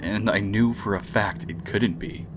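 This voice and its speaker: A man's voice: fairly low pitch, a little slow, close to an everyday voice. A man playing a loyal, protective and remorseful young ex-boyfriend in radio drama dialogue. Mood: reassuring. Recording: clear background noise.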